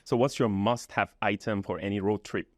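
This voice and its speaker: A man's voice: lowish voice, fairly even intonation, normal speed, dry recording, studio quality. A man from Malaysia hosting an interview, asking questions in an easygoing way. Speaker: podcast host.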